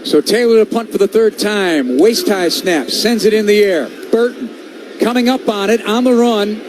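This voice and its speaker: A man with a high-pitched voice calls live football on the radio in clipped, vivid phrases. He works from a stadium booth with clear background noise behind him. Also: normal speed. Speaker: football play-by-play announcer. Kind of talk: live radio play-by-play commentary.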